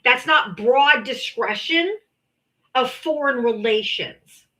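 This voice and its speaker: A woman's voice: high voice, slow pace, sharp tone, low-quality recording. A woman in a casual online debate, pointed and taunting toward her opponent.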